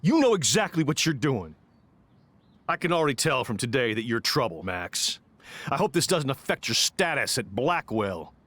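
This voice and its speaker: A man's voice: medium pitch, big pitch swings, normal speed, fairly bright tone. Stern, wide-swinging, bullying stepfather. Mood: bitter, scolding. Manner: barking, accusatory, authoritarian. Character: stern middle-aged stepfather, ex-soldier, suspicious, domineering, hot-tempered. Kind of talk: video game voice acting.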